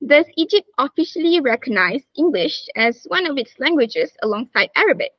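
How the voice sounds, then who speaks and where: High, lively woman interviewing a student. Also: a little fast, dry recording, muffled recording. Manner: reads questions, lots of "all right" and "okay" transitions.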